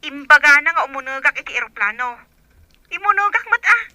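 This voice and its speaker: A naive young Ilocano-accented woman full of grievance, acted by a woman in amateur audio drama. Her voice is extremely high and sharp, recorded very dry. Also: expressive, a little fast, no room sound, heavily acted. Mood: sheepish, aggrieved. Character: naive, aggrieved young woman, stranded and wanting to flee.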